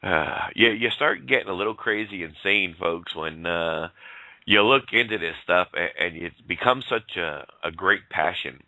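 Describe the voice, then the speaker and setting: Hoarse low-voiced man with gravelly rasp. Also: moderate pace, sharp tone, no room sound. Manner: rambling, folksy, laughs often. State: getting hoarse. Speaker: host. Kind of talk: casual call-in talk.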